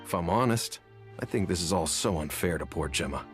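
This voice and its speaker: Low-voiced, wistful townsman. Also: expressive, a little fast. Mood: pitying. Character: sympathetic, plain-spoken townsman, gloomy gossip. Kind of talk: video game character acting. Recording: music behind the voice.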